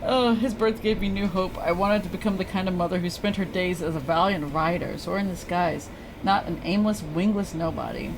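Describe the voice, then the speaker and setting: A woman's voice: lowish voice, brisk, noisy background. A woman streamer giving casual, reactive, sweary commentary while playing a game. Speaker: live streamer.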